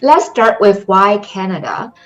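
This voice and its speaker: A woman's voice: medium pitch, unhurried, a bit bright, soft background music, low-quality recording. A young woman from China with a Chinese accent, giving an online presentation in careful English with frequent ums. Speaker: presenter for a study-abroad program.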